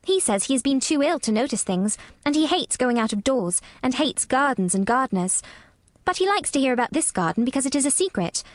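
An eager young English girl from a storybook, as a woman reader puts her on. Her voice is high and sits in a dry, echo-free recording. She speaks properly and very earnestly. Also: lively intonation, a little fast. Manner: proper, earnest child's diction.

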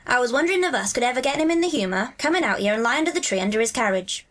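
A woman's voice: on the high side, animated, rapid-fire, a bit bright, pitched above the performer's own voice. An earnest young Yorkshire lad from a storybook, as a woman narrator acts him out. He talks in broad rustic dialect, plain and homely. His manner is kindly and reassuring. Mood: hopeful.

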